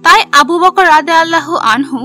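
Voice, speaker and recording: A woman narrating a scripted history story for children, telling it in simple, deliberate phrases. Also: very high-pitched, pushed and bright, soft background music.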